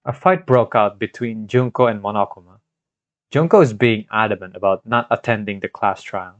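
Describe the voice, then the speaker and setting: A man's voice: fairly low pitch, normal speed, dry recording. A man narrating a scripted video game story recap in a storytelling manner, recorded on a home microphone. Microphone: home microphone, scuffed in parts. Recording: dry, dull lo-fi home microphone.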